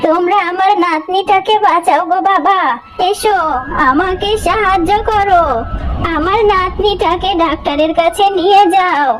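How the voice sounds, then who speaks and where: A woman's voice: very high voice, fairly even intonation, moderate pace, a little hiss or hum, rough recording. A woman voicing an elderly grandmother jackal in a melodramatic cartoon, plaintive and wailing in her appeals. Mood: pleading, distressed. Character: elderly grandmother jackal, pleading, grieving, vengeful. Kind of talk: melodramatic cartoon story acting.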